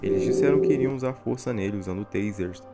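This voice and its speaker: A man's voice: lowish voice, quick pace, loud music behind the voice. A man with a Brazilian accent reading a scripted narration that recaps a horror series. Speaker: video narrator.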